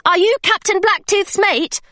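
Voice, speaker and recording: A woman's voice: very high-pitched, unhurried, sharp tone, dry recording, clean studio sound, voice pushed up, exaggerated voice. A woman voicing an eager, polite young female pirate.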